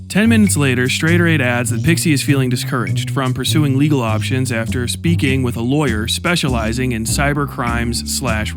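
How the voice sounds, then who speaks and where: Man narrating over guitar music. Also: medium pitch. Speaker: commentary narrator. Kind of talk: scripted documentary-style narration.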